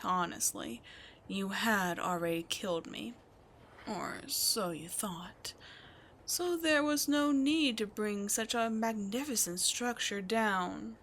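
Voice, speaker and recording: A woman's voice: medium pitch, takes it slowly, a bit bright. A woman voicing a scheming demon queen in an ASMR roleplay, sly and mocking, often slipping into whispers, with faint noise behind. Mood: taunting. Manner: sly, taunting, drifting into whispers. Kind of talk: ASMR roleplay audio drama.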